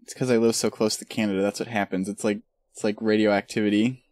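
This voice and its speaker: A man's voice: on the low side, flat delivery, fast, darkish tone, dry recording. A man streaming a video game, chatting casually and joking in a rambling way. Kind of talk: casual chat while gaming.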